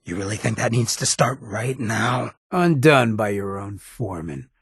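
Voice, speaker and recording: Irreverent game ghoul, extremely husky rasp, lively swings in pitch, swaggering slangy drawl, loyal rogue. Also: male voice, normal speed, no room sound, studio quality, full character voice. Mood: somber, protective. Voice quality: heavily raspy, gravelly. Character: roguish, streetwise ghoul adventurer, irreverent, loyal to underdogs. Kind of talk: video game character acting.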